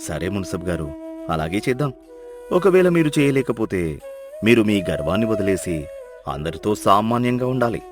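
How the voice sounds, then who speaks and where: Calm, humble village herdsman, played by a man. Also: on the low side. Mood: calm, respectful. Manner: polite, quiet, measured reasoning. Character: humble, clever village donkey herder, calm and wise. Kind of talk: dramatised moral story dialogue. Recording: music behind the voice.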